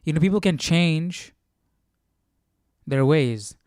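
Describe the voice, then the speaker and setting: A man's voice: on the high side, expressive, slow, darkish tone, smooth, dry recording. Casual man thinking aloud, clean close sound. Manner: questioning, repeats and rephrases as he reasons. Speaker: livestream Bible study host.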